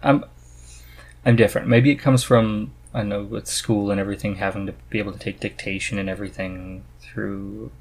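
A man in his thirties with a husky voice, chatting casually as the host of a podcast. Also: lowish voice, steady tone, normal speed, darkish tone, slight background noise, low-quality recording. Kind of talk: casual chat about books.

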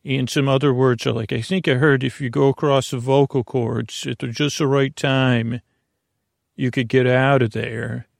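Male American bedtime podcast host, creaky, fry-heavy voice at medium pitch, soothing, rambling monologue full of stumbles, very dry recording. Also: level tone, normal speed, no echo. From Long Island, US. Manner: meandering, tangential, stumbling and mashing words together; lulling, soothing delivery.

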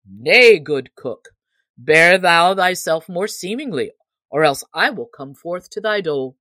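A woman's voice: fairly low pitch, lively intonation, a little slow, bright, forceful tone, no echo. A woman voicing a bold, hungry, teasing male outlaw in an old storybook, with boastful archaic speech.

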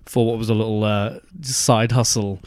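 A man's voice: fairly low pitch, fairly even intonation, normal speed, a little mellow, no room sound. Male podcast host, dry close sound. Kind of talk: casual conversational interviewing.

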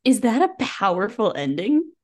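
A woman's voice: mid-range voice, very animated, moderate pace. A woman co-hosting a book podcast in a casual chat, giving blunt, jokey opinions.

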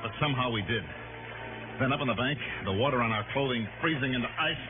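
An American actor playing a tough insurance investigator in a 1960s radio detective drama, clipped and hard-boiled. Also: male voice, mid-range voice, fast, lightly acted. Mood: wry, confident. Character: tough male insurance investigator narrating his case. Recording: old narrow-band radio recording, music behind.

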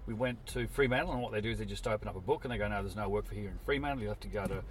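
A man's voice: fairly low pitch, racing. A man in his sixties, born in Scotland, telling rambling stories with self-deprecating humour as an interview guest, recorded outdoors by a road. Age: 60s. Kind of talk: casual storytelling chat. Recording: distant mic with street noise.

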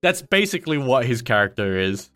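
A man's voice: brisk, faint music behind. A man hosting a casual podcast, teasing his co-host and laughing often. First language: not Chinese. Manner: teasing, laughs often, lively swings in pitch. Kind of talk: casual chat.

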